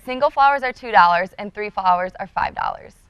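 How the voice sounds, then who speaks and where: A teenage girl co-anchoring a school news broadcast, bright and quick. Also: mid-range voice, expressive, clean tone, no room sound, lo-fi sound.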